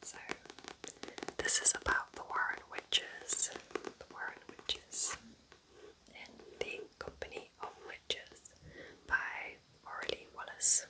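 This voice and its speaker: A British woman whispering softly in an unhurried, chatty ASMR style, gently rambling as a host. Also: low-quality recording. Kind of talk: whispered ASMR, gentle roleplay book chat.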